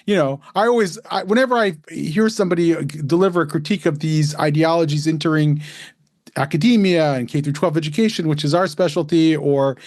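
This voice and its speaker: Male podcast host, slightly high pitch, dry recording, loose conversational rambling. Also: a little fast, a little mellow, no room sound. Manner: rambling with fillers and restarts. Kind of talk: podcast interview.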